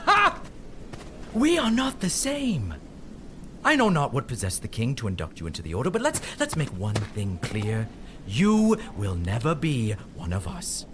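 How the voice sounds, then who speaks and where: An actor in an audio drama playing a gruff, scornful seasoned knight with a curt, disdainful manner. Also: male voice, medium pitch, huge pitch swings, a little slow, background noise.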